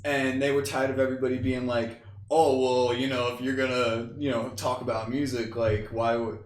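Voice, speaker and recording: A man's voice: medium pitch, little pitch movement, normal speed, distant mic. A man hosting a casual podcast, enthusiastic and slangy, tossing in "dude" and "bro". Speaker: podcast host. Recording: echoey room, off-mic.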